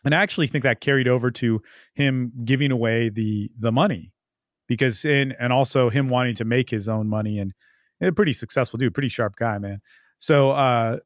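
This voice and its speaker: A man's voice: medium pitch, no echo, muffled recording. A man hosting a podcast as a coach, giving casual, unscripted advice in a blunt, profane, emphatic and rambling way. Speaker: podcast host and dating coach.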